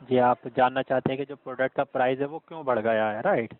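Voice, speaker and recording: A man's voice: mid-range voice, fast. A man working as a customer care agent, answering with polite, formal, apologetic phrases over a recorded phone call. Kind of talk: scripted call-center politeness.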